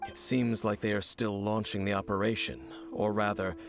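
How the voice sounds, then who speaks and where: Low, gravelly, stoic warrior. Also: male voice, level tone, music in the background. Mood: pondering, solemn. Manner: solemn, measured, formal. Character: grave, stoic male warrior guide, cryptic and knowing. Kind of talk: video game character acting.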